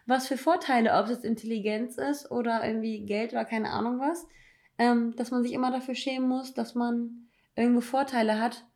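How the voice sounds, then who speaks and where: A woman with a slightly higher voice talks things through in a casual chat. She reflects out loud and stresses her points with emphatic, slangy phrasing. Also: steady tone, brisk.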